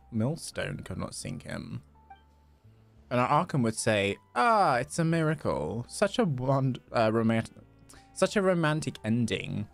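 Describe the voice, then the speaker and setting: Guy doing a fancy dramatic shopkeeper from a fantasy game, swoops around, drags words out, creaky edge. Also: medium pitch. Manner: ornate, drawn-out, theatrical. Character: florid, theatrical shopkeeper, grandly courteous and teasing.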